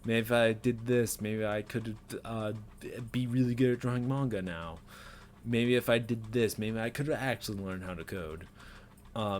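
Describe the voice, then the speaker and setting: A man in his twenties rambling self-critically in an unedited personal vlog, with nervous laughs and many fillers, recorded in a small bedroom on a desk webcam. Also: lowish voice. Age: 20s. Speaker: vlogger.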